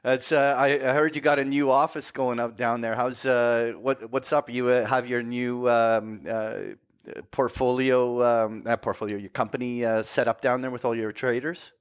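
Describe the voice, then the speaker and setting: A man's voice: mid-range voice, little pitch movement, moderate pace, smooth, no echo, studio quality. Fumbling, filler-heavy male podcast host. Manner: hesitant, many fillers and restarts, swears casually. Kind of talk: casual podcast chat.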